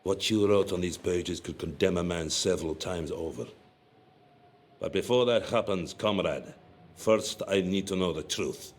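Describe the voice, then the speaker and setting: A cold secret police colonel. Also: male voice, sits low. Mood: cold probing. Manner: coldly probing, measured. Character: cold, menacing middle-aged male secret police colonel. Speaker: interrogating NKVD colonel. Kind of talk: video game cutscene acting.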